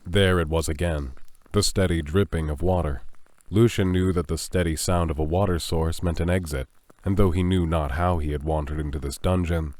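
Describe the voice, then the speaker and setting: A man with a low-pitched voice narrates a dramatic audio story. He reads the script in a measured way, building suspense as a storyteller would. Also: steady tone, darkish tone.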